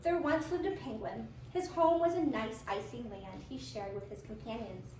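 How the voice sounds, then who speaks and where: A woman's voice: fairly high pitch, moderate pace, darkish tone. A woman narrating a scripted children's storybook as part of a readers' theater. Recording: echoey room, distant, faint noise.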